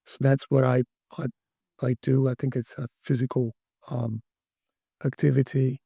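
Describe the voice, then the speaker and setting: A middle-aged man from Brazil, speaking as an interview guest, talking in a halting way with many restarts and fillers. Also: mid-range voice, level tone, vocal fry, warm tone, husky, no room sound, studio quality. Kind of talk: interview conversation.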